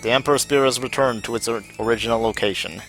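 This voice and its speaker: A man's voice: mid-range voice, fast talker, rough recording. A man live streaming a game, reading its text aloud and commenting as he plays. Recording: music behind the voice. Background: game music.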